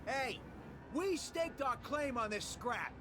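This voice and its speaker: A man's voice: very high-pitched, expressive, slow pace, pushed and bright, heavily acted. An actor playing a menacing, predatory male scrapper thug in a game, taunting and drawling. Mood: territorial. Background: music.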